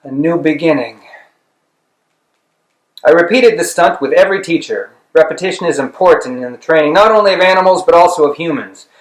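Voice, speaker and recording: A man with a slightly high voice narrates a literary story in a clearly roomy space. He reads expressively and deliberately, leaving dramatic pauses between phrases. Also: lively intonation. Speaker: audiobook reader. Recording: roomy, echoing room sound.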